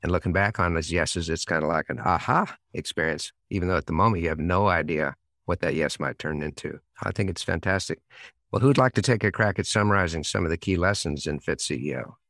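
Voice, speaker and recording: A man's voice: deep voice, a little fast, a bit bright, dry recording, studio quality. A man hosting an interview, speaking conversationally in an affirming, reflective manner. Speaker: podcast host.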